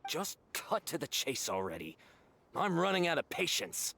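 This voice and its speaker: A man's voice: a little high, big pitch swings, moderate pace, creaky, bright, forceful tone, slight background noise, full character voice. An actor playing a stern, suspicious and impatient young lawman in a video game, terse and serious.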